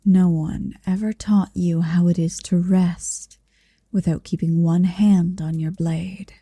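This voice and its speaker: A woman voicing a possessive, seductive motherly dragon in an intimate ASMR roleplay, breathy and whispery, speaking slowly and deliberately close to the microphone. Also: fairly low pitch, dark tone, dry recording, exaggerated voice. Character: possessive, seductive motherly female dragon hoarding her captive. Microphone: close microphone, dry.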